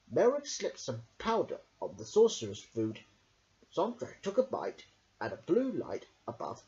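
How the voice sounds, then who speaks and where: A woman reading a children's story aloud in a theatrical, expressive way. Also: deep voice. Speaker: story reader. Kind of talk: dramatic children's storybook narration. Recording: dull lo-fi home recording.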